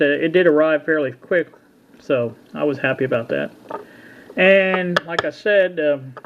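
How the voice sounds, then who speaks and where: A middle-aged or older man casually talking through a hands-on repair at a workbench, in a halting, folksy, unhurried way. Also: fairly high pitch. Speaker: hobbyist radio repair vlogger. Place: indoor workbench. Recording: dull lo-fi sound with background noise.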